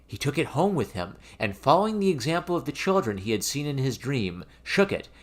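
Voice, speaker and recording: A man reading a fairy tale aloud as an expressive, theatrical storybook narration. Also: mid-range voice, fairly quick. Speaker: storyteller, reader of fairy tales.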